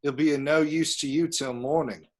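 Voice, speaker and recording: Fairly high-voiced village desk sergeant, a man acting. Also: steady tone, normal speed, close to an everyday voice. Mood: wry, cheery. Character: village desk sergeant, wry and unbothered, sometimes sour. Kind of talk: amateur screenplay table read.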